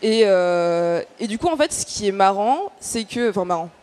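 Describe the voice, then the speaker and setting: A woman with a mid-pitched voice gives a public talk as an activist. She explains her points earnestly and in order, though her delivery is stop-and-start, with frequent hesitation. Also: expressive, moderate pace, a little mellow. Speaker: activist panel speaker.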